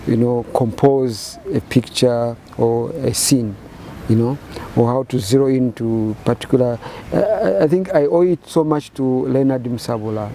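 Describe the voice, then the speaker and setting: A man in his fifties with a Zambian accent, answering interview questions in measured, halting phrases with many repeated words. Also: medium pitch, warm tone, slight background noise, low-quality recording. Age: 50s. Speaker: painter and photographer interviewee.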